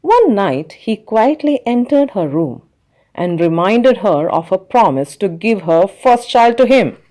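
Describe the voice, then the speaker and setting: Woman reading a kids bedtime story, real slow, mellow voice, very expressive. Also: medium pitch. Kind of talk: expressive scripted bedtime story narration for children.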